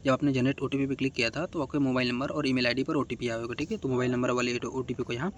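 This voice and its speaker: A man's voice: medium pitch, fairly even intonation, quick pace, slight background noise. A man giving casual, step-by-step instructions as a tutorial host, often adding short check-in tags.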